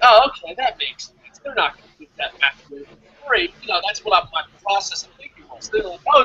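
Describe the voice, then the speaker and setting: A man giving excitable, casual fan commentary as co-host of a review show, rambling and repeating himself. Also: extremely high pitch, slightly rough, no echo, highs cut off.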